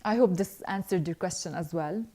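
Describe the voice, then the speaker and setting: Young woman, alumna hosting a live Q&A. Mellow, relaxed voice, earnest, halting with fillers. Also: fairly low pitch. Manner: earnest, hesitant, frequent filler pauses. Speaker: scholarship alumna answering viewer questions. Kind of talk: live online Q&A, reading questions then answering.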